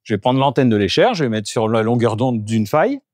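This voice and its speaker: A man's voice: medium pitch, animated, fast talker, a little mellow, no room sound, studio quality. A man working as a geobiologist, walking through a house and explaining each step of his work to the camera. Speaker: geobiologist doing an energy clearing. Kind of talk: on-the-spot demonstration with explanation. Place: indoors in a house, moving between rooms.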